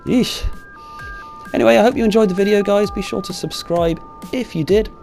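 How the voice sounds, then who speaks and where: A man's voice: sits high, a little fast, vocal fry, darkish tone, soft background music. A British man hosting an online video, giving informal, opinionated commentary with a sardonic streak and some mild swearing. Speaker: video host. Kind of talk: scripted opinion commentary on video game films.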